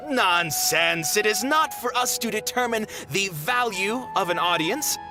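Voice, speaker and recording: A man's voice: high voice, lively intonation, unhurried. A man voicing a dignified, formal-spoken troupe master in a video game. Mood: earnest. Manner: formal, declamatory. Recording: game audio with music behind.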